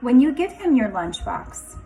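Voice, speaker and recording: A woman reads a children's picture book like a kindergarten teacher. Her pitch swings widely and expressively, and she takes her time with each phrase. She speaks instructively to young listeners, with faint music behind her. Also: sing-song, unhurried, vocal fry, warm tone. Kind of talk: children's picture book read-aloud with asides.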